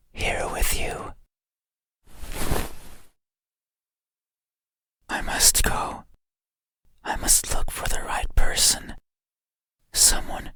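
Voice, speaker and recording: A slow, whispering, lonely monster. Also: sharp tone. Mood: mournful, resigned. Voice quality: breathy, unvoiced whisper. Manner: slow, deliberate, halting phrases. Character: lonely, menacing bedside monster yearning to be seen. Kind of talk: whispered ASMR horror game monologue.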